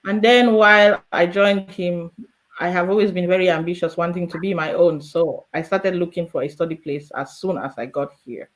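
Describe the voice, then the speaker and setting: A woman's voice: fairly low pitch, moderate pace. Cameroonian-accented woman telling her story. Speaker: guest, immigrant in politics. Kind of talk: conversational interview answers, storytelling.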